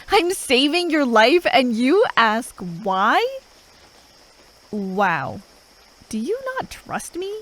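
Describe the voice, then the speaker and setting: A woman playing a gruff, impatient but grudgingly caring fantasy assassin in an ASMR roleplay, sarcastic and sweary as she talks to the listener. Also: fairly high pitch, fairly bright tone, a little hiss or hum, heavily acted, poor audio. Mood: indignant. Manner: sarcastic, drawling, sweary, with sighs.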